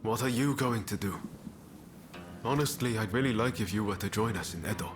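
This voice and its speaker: A man's voice: fairly low pitch, brisk, music in the background, close to an everyday voice. A man playing an easygoing, friendly ronin comrade in a video game, informal and conversational. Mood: casual, concerned. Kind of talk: video game cutscene acting.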